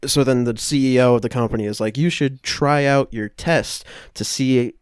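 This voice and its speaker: A man co-hosting a podcast, casually recapping a film to friends in a halting, conversational way. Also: medium pitch, smooth, no room sound. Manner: halting, loosely conversational storytelling. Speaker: podcast co-host.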